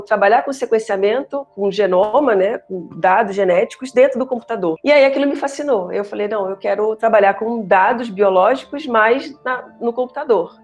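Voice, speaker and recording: A middle-aged woman with a Carioca accent blended with traces of other regional accents tells her story in a chatty, unhurried way. She leans on tag questions and gently pokes fun at herself. Her voice sits in a comfortable middle register. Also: mid-range voice. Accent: Carioca Brazilian Portuguese, mixed with other regional Brazilian accents. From Rio de Janeiro, Brazil. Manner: chatty, frequent tag questions, self-deprecating asides. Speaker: professor and researcher guest. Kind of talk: casual podcast interview storytelling.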